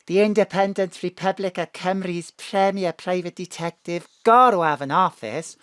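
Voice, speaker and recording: A woman's voice: lowish voice, normal speed, smooth, dry recording, clean studio sound, close to an everyday voice. An actress playing a fond, teasing older mother. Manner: affectionate, teasing.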